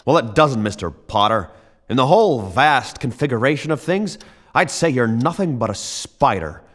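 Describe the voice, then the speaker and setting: An actor playing an earnest, excitable young small-town American man, stammering and folksy, in a radio play. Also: mid-range voice, moderate pace, close to an everyday voice. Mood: indignant. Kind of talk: old-fashioned radio play acting.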